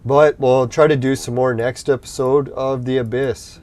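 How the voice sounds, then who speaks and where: A man's voice: mid-range voice, fairly even intonation, moderate pace, a little mellow. A man hosting a live stream, chatting casually with viewers in a rambling, laid-back way, laughing often and losing his voice. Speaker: livestream host.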